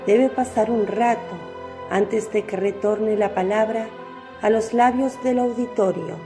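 A woman giving scripted narration for a radio drama in a solemn, measured manner. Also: medium pitch, fairly even intonation, music in the background.

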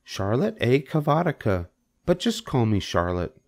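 A man's voice: medium pitch, big pitch swings, normal speed, pitched above the performer's own voice. A man voicing a small, kindly female spider in a children's storybook, thin-voiced, poised and matter-of-fact. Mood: self-assured. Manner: matter-of-fact, slightly fancy speech.

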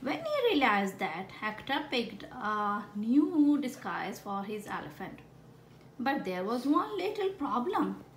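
A woman reads a children's picture book with very animated, swooping intonation. She takes her time and plays up the storytelling in a fairly high voice. The recording is roomy, with some background noise. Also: slow pace. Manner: exaggerated storytelling with playful asides to children. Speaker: children's story reader with a frog puppet. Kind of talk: expressive picture-book read-aloud. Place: indoors at a wooden table.

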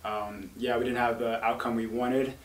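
Soft-spoken young male athlete, flat delivery, distant mic, faint hum. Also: mid-range voice, normal speed, echoey room. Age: young adult. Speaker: player. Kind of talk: post-game press conference.

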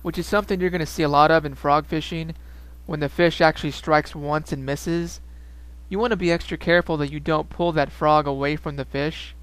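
A young man giving calm, matter-of-fact fishing tips as a voice-over narrator. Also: a little high, a little fast, clear, resonant tone, no echo, low-quality recording. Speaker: fishing video host.

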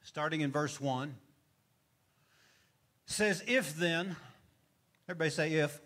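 A man's voice: a little high, takes it slowly, a bit bright. A middle-aged male pastor preaching on a church stage into a handheld mic, often asking the congregation questions and calling for responses. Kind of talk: sermon. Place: church stage with brick wall.

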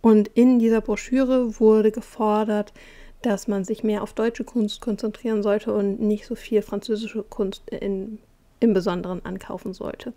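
A woman presents an art quiz in voice-over, walking through each clue in a calm, explanatory manner. Her voice is mellow and dark-toned, sitting at a middle pitch. She pauses now and then to find her words.

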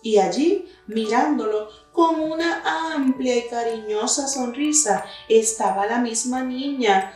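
A young adult woman reading a picture book aloud to children in an expressive, deliberate storytelling style. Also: a little high. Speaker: children's story reader, teacher. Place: indoor room with wooden cabinet and plants. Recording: very echoey room, distant mic, faint music.

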